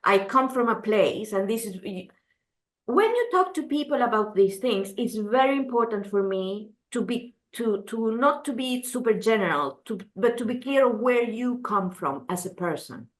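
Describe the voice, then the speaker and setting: A woman's voice: on the high side, expressive, moderate pace. A woman from Chile, speaking English as an interview guest, answering earnestly with frequent restarts and "you know". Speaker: gender adviser, interview guest. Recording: roomy echo.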